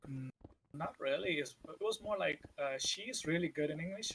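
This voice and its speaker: A man whose first language is Vietnamese, chatting casually as a podcast co-host and telling stories in an animated way. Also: a little high, normal speed, creaky, a little hiss or hum, poor audio. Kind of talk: casual chat.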